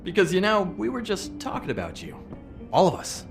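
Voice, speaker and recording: A man's voice: high-pitched, wildly swooping pitch, vocal fry, over music, full character voice. An actor playing a cocky, mouthy male troublemaker in a video game, sarcastic and goading. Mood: mocking, smug. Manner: sarcastic, goading, drawn-out emphasis.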